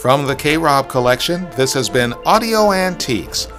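A man's voice: mid-range voice, expressive, normal speed. A man delivering a scripted advertising read as a programme announcer. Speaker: podcast host and ad reader. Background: faint music.

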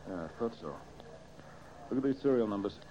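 An American man playing an earnest, brisk young amateur detective in an old-time radio drama. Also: lowish voice, expressive, close to an everyday voice. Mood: brisk, inquisitive. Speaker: detective hero and show host. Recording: muffled, hissy old radio recording.

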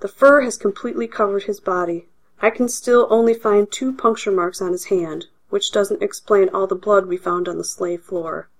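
Woman playing a serious male doctor, proud of his authority, measured, solemn. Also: medium pitch, fairly even intonation, lightly acted. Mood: grave. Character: grave, haughty male doctor.

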